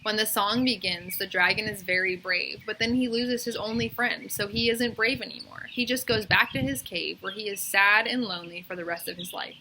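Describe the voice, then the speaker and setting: A woman reading a children's story aloud as a teacher, in expressive narration. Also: medium pitch, normal speed, hardly any pauses, background noise.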